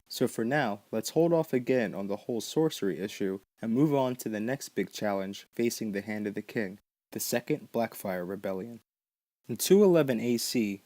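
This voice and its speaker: A man's voice: lowish voice, dark tone, no room sound. A man narrating a scripted explainer as the host of a lore series.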